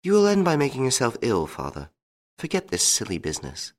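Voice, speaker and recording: A gentle young noblewoman from a storybook, acted out by a male narrator. Her pitch is lifted well up as she coaxes sensibly, with a lively rise and fall. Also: fairly quick, breathy edge, full character voice. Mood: gentle chiding. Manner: gentle, coaxing.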